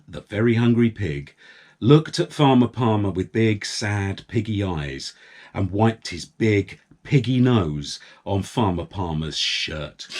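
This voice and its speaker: Very animated man telling children's stories. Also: on the low side. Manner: playful, with drawn-out words and repetitions. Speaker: children's storyteller. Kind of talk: animated storytelling for young children.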